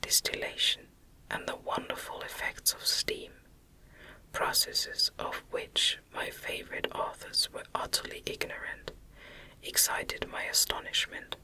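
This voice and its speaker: A woman reading a classic novel as ASMR narration in a soft, breathy, unvoiced whisper, hushed and measured, close to the microphone. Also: poor audio.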